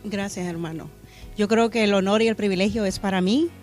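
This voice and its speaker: A middle-aged woman pastor from Honduras, reflecting earnestly on her faith in a studio interview, pausing with fillers. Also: mid-range voice, darkish tone, faint music behind. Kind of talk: testimony interview. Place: church media studio.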